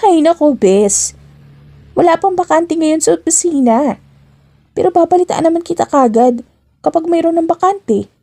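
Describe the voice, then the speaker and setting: A woman's voice: very high voice, animated, normal speed, pauses often, dark tone, pitched above the performer's own voice. A woman with a Filipino accent voicing a breezy young office worker, a chatty, slangy, teasing best friend in an audio drama. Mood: apologetic.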